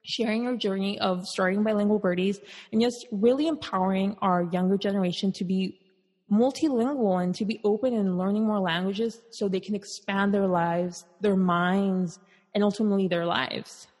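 A woman hosting a podcast, reading out a long guest introduction at an even pace and dropping in Spanish words. Her voice is breathy. Long reverb trails after her words. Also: mid-range voice, normal speed. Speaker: podcast host. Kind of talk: scripted intro and interview.

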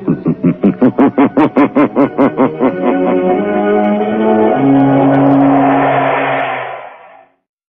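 A man playing a sinister voice of doom in a 1930s radio sign-off, drawing out his words ominously. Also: mid-range voice. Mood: sinister, gloating. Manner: slow, ominous, drawn out. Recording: dull old radio recording under music.

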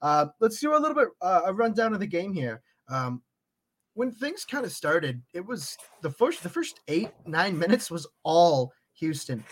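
A man's voice: sits high, big pitch swings, moderate pace. Upbeat man hosting a soccer show. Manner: upbeat, friendly, frequent fillers. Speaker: podcast host. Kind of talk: casual sports chat, reading chat comments.

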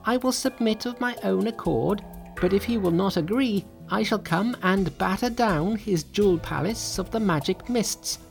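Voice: medium pitch, normal speed, gravelly, soft background music, pitched above the performer's own voice, lightly acted. A storybook narrator voicing a male monkey trickster who is cocky, taunting and boastful. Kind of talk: storybook character voice.

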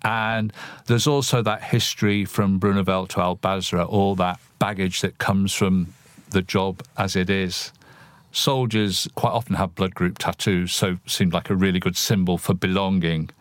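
A man with a deep voice talks as a guest poet in an interview. He is thoughtful and analytical, reflecting as he speaks. The recording is close and dry. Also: level tone, moderate pace, no room sound.

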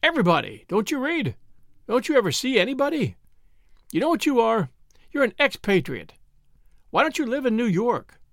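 A man's voice: very high voice, huge pitch swings, fairly bright tone, no room sound, studio quality, voice pushed up, exaggerated voice. A man voicing a teasing, exuberant male friend in an audiobook, needling in a mock-grandiose way. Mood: exuberant ranting. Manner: mock-grandiose, needling, rapid-fire exclamations. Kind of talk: audiobook character dialogue.

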